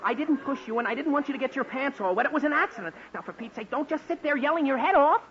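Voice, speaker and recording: A man playing an eager, easily exasperated teenage boy in a 1940s radio comedy, protesting in an excitable, stammering whine. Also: very high-pitched, fast talker. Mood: placating, exasperated. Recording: muffled, hissy 1940s radio recording.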